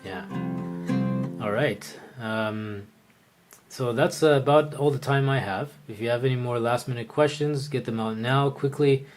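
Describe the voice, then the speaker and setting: A man teaching the oud in a live online lesson, answering viewer questions in a relaxed, conversational way, thinking aloud with frequent fillers and restarts. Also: medium pitch, unhurried, soft background music.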